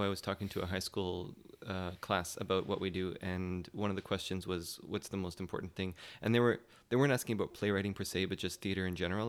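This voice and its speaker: A man with a very low voice talks as a guest on a casual panel. He is thoughtful and hesitant, feeling his way toward each word. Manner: hesitant, thoughtful, searching for words.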